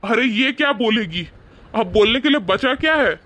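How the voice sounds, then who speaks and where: A man acts out a young husband in a cartoon moral story, with a very high-pitched voice. He is angry and rude, scolding his wife and in-laws in an indignant, accusing way. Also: expressive, moderate pace, sharp tone, no room sound, exaggerated voice. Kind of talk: animated moral-story dialogue.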